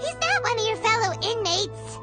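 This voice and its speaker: A woman's voice: very high voice, big pitch swings, moderate pace. A woman voicing a small, childlike, sassy and exasperated sidekick who scolds in an anime video game. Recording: music playing behind the voice.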